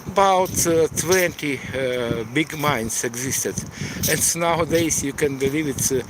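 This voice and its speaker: Slow, halting man. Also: a little high, background noise. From eastern Ukraine. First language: Russian. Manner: halting, searching for words in a second language. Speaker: tour guide and live stream host. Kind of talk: live stream Q&A.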